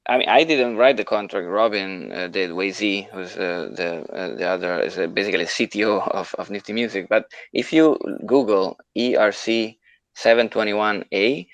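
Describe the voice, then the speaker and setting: A man's voice: on the low side, moderate pace, dry recording, low-quality recording. A man chatting casually as co-host of an online talk show, explaining things step by step and giving encouraging advice. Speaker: co-host answering questions on a live audio show.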